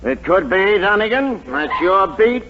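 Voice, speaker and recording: Badgering police captain, impatient, high-pitched, 1940s radio comedy, loud studio audience. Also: male voice, animated, unhurried, muffled recording, crowd noise. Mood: stern, indignant. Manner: curt, badgering interrogator.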